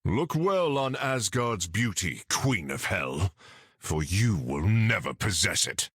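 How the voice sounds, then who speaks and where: Noble game warrior god: husky, deliberate, stern, formal speech with bold swings. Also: male voice, on the low side, very animated, a bit bright, no room sound, studio quality, exaggerated voice. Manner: formal, archaic, solemn. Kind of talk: video game voice acting.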